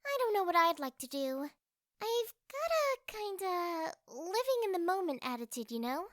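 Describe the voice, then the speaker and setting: Very high, perky anime girl. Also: sing-song, moderate pace, no echo, clean studio sound. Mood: curious, easygoing. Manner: perky, cutesy. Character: bubbly, sweet anime-style teenage girl.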